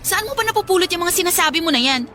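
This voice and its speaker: A woman's voice: extremely high pitch, animated, fast talker, sharp tone, slight background noise, heavily acted. A woman playing a plaintive young Filipino student, a pleading, wronged girlfriend in a radio drama. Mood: defensive, pleading. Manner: whiny, plaintive.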